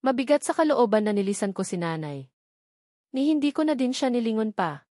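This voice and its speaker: A woman's voice: on the high side, very animated, brisk, darkish tone, dry recording, studio quality. A woman with a Filipino accent reading a first-person novel as a scripted audiobook narration.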